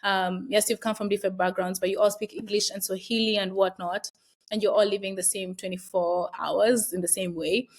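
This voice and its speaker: A woman's voice: mid-range voice, steady tone, brisk. A young woman with a Kenyan accent, a university student talking casually and reflectively as an interview guest, with frequent fillers. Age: young adult.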